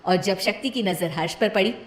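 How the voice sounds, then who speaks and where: A woman's voice: medium pitch, moderate pace, echoey room, slight background noise. A woman reading a scripted cartoon moral story as its narrator.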